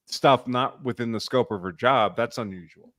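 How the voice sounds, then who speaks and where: Guy explaining stuff on a chat panel, medium pitch, really dry audio. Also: normal speed, a bit bright, no echo. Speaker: guest legal commentator on a livestream. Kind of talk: casual panel commentary.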